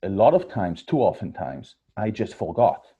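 A man's voice: lowish voice, sing-song, normal speed, breathy edge, phone-quality sound. A man in his forties with an Israeli accent, a podcast guest telling stories about his family, with many fillers and restarts. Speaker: guest, startup founder. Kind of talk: podcast interview.

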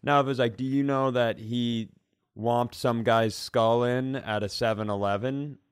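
A man's voice: mid-range voice, steady tone, moderate pace, clean tone, no echo, studio quality. A man co-hosting a comedy podcast, chatting casually in a rambling, sweary, story-driven way. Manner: rambling, conversational storytelling with profanity. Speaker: podcast co-host. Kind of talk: casual comic banter.

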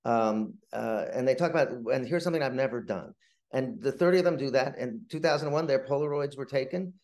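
Halting middle-aged man telling stories. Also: mid-range voice, a little mellow. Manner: halting, rambling anecdotes with frequent repeated words. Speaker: playwright, interview guest. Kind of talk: conversational interview storytelling.

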